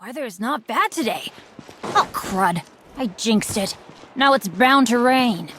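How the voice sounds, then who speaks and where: A woman's voice: fairly high pitch, animated, slow, background noise. A woman voicing an upbeat, luckless young boy adventurer in a video game, chipper and boyish. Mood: cheerful. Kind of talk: scripted video game voice acting.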